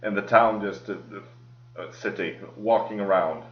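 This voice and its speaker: A man with a heavy Swedish accent, co-hosting a podcast in casual chat, relaxed and quick to laugh. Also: on the low side, a loud crowd. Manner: relaxed, laughs often, restarts sentences. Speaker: podcast co-host. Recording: echoey lo-fi mic.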